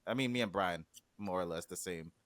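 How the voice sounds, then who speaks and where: A man's voice: deep voice, brisk, fairly bright tone, no room sound. A man whose first language is Swahili, a music producer talking animatedly in a casual interview, often trailing off and restarting.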